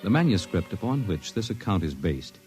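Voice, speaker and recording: Deep formal radio announcer. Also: male voice, very animated, a little mellow. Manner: measured, declamatory. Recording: old broadcast with music bed.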